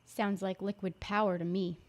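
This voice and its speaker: A woman's voice: medium pitch, flat delivery, normal speed, natural voice. A woman acting in an audio drama as a young villainous sorceress, defiant and scornful, with a theatrical, threatening manner. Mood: sullen, skeptical.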